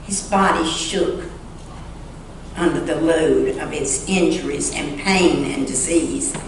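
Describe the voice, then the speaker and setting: Slow-reading woman in an echoey church. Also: low-pitched, creaky. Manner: slow, deliberate phrasing with pauses. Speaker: church member reading a short story aloud. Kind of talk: solemn dramatic story reading in church. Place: large church sanctuary. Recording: echoey church hall, distant mic, faint noise.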